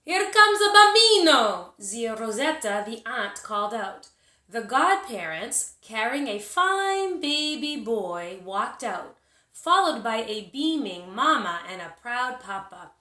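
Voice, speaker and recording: A woman teacher reading a children's story aloud, expressive and deliberate in her telling. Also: fairly high pitch. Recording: roomy, echoing sound.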